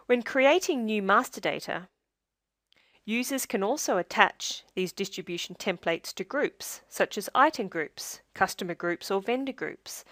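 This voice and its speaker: A woman narrating a scripted software tutorial as a demonstrator, in a measured, step-by-step instructional way. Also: on the low side, no echo, clean studio sound.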